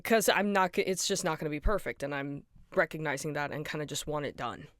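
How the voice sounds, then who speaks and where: Tired, hoarse woman rambling at her mic. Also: low voice, fairly quick, no echo, studio quality. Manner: rambling, apologetic, tired, self-deprecating laughs. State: hoarse and nasal from being sick. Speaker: fan animator and voice actor. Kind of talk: casual behind-the-scenes rambling. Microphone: close home mic.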